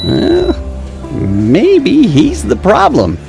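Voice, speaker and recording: A man's voice: high-pitched, wildly swooping pitch, drawn-out words, vocal fry, darkish tone, soft background music, exaggerated voice. A man playing a folksy, amiably nosy bartender in a comedic audio play, talking in an easygoing, colloquial way. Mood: sympathetic, prodding. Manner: colloquial, easygoing, hesitant asides.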